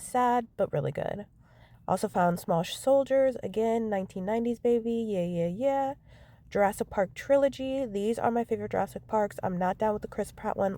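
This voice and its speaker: A woman in her thirties chatting casually to her phone camera from inside a car. Her nose is stuffy and she talks in an animated, self-mocking way. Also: medium pitch. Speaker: vlogger. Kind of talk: casual vlog chat.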